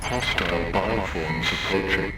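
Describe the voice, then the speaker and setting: A man's voice: sits low, unhurried, heavy background noise. A man voicing a grim automated containment bay announcer in a game, reciting status notices in a clipped, solemn, impersonal way. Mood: impersonal, ominous. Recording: echoey, loud music behind.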